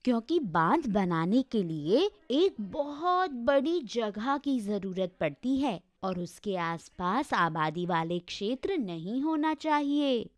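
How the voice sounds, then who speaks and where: A woman's voice: medium pitch, big pitch swings, slow pace, few pauses, dry recording. A woman giving formal, textbook-like scripted explanations. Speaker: narrator.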